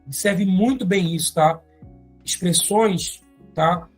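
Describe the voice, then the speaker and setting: A teacher with a Brazilian Portuguese accent, going step by step through an exam-prep grammar lesson and often adding quick check-in tags. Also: mid-range voice, breathy edge, soft background music. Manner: explains step by step, often adding tag questions.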